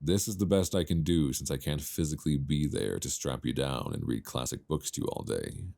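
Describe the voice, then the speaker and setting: A man playing an affectionate, gently teasing long-distance boyfriend in an intimate, unhurried ASMR roleplay voice message, speaking conversationally close to a binaural microphone. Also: a bass voice, creaky, no echo. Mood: playful mock reproach. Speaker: audio roleplay performer.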